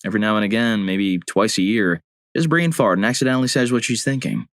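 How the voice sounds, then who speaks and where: A man reading out story submissions, with deadpan, wry asides between them. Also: lowish voice, fast talker, no echo, studio quality. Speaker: narrator. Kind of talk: reading story submissions aloud with short quips.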